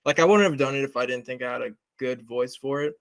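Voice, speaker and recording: A man's voice: medium pitch, quick pace, dry recording. Young man, casual and dry. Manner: casual, heavy "like" fillers. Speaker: interviewer, project creator. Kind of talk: casual interview chat.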